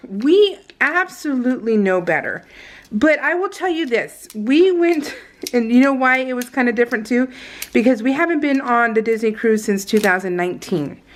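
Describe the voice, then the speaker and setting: A woman with an American accent chatting casually and unscripted as a vlogger, in a rambling, giggly, storytelling way. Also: a little high. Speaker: vlogger hosting a scrapbooking process video.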